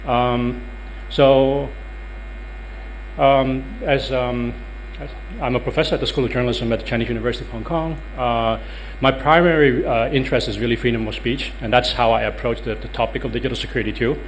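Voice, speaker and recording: A man's voice: mid-range voice, fairly even intonation, brisk, slight background noise, low-quality recording. A man in his thirties with a Dutch accent giving a workshop talk in a conference room, hesitant with many ums and uhs. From Netherlands. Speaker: lecturer.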